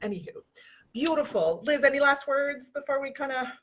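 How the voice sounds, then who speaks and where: A woman hosting a casual video-call interview, laughing often and restarting her sentences, with a relatively low voice. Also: lively intonation, normal speed, a bit bright. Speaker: host.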